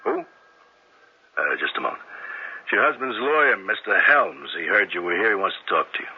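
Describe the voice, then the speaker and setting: An actor playing a persistent police sergeant who questions a witness with coaxing, halting restarts, in a 1970s radio drama. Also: male voice, low voice, very animated, gravelly, a little hiss or hum, full character voice. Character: persistent male police detective sergeant, middle-aged. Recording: dull lo-fi old radio recording.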